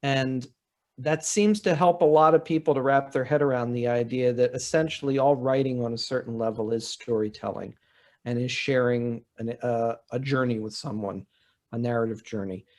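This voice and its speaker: A man's voice: mid-range voice, moderate pace, a little mellow. Male panelist, college writing teacher type, mid-range voice, explanatory, conversational, restates key points.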